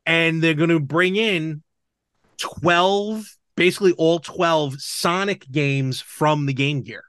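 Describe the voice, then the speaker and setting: A man's voice: a little high, takes it slowly, fairly bright tone, clear, resonant tone, no room sound. A man hosting a podcast, chatting casually and enthusiastically about retro video games. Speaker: podcast host.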